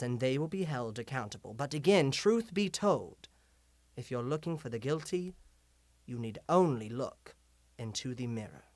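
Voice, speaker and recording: A man playing an eloquent, defiant vigilante revolutionary, delivering a measured, rhetorical monologue as a broadcast address to a nation. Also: medium pitch, no echo, natural voice. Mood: accusing, intense. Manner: measured, rhetorical, deliberate dramatic pauses, drops to whispers.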